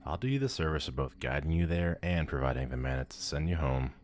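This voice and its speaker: A man's voice: rumbling low, animated, quick pace. A man playing a powerful demon lord in an ASMR audio roleplay, pompous, condescending and theatrical. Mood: lofty, instructive. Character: haughty, powerful male demon lord, bored and amused.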